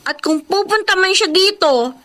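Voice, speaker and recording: An actress with a Filipino accent playing an excitable, lovestruck young daughter in a radio drama, dramatic and emphatic. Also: female voice, very high voice, moderate pace. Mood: indignant, earnest. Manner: dramatic, emphatic, gushing and indignant.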